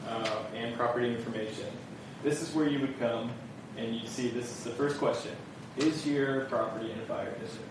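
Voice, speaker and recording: A man on permit staff walking an audience through building forms, in a casual manner that leans on "kind of". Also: mid-range voice. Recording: echoey room, heard from far off, background noise.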